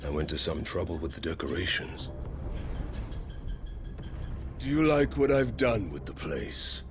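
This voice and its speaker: A man playing a menacing, mocking warlord villain in a video game, theatrical and gravelly, with deliberate, taunting delivery. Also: deep voice, sing-song, over music. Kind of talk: dramatic video game acting.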